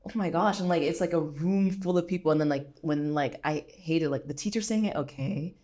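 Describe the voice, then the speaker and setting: Woman, American accent, low pitch, casual chat, informal storytelling, podcast guest. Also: fairly quick, dark tone. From United States. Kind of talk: casual conversation.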